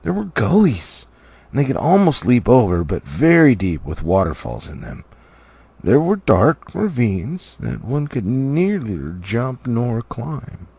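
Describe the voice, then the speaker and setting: A man reading a storybook aloud in an expressive narrative style, as an amateur audiobook reader. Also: medium pitch, unhurried, vocal fry, breathy edge, no room sound.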